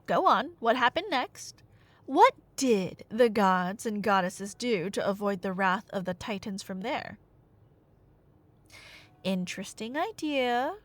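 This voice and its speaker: A woman plays a chatty, nerdy girlfriend in an ASMR roleplay, telling stories with rambling enthusiasm. Her voice is fairly high and swings widely in pitch, with a playful bossy streak. The sound is very dry, with no room echo. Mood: playfully indignant. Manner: rambling, animated, tangent-prone, mock-indignant.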